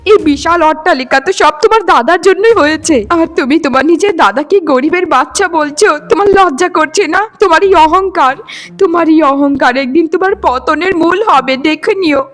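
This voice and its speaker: A woman's voice: high voice, brisk, fairly bright tone. A woman voicing an aggrieved, scolding wife in a melodramatic cartoon, lamenting and repeating phrases for emphasis. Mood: reproachful, bitter. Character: aggrieved, reproachful childless wife of a greedy rich man. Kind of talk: melodramatic Bengali cartoon dialogue.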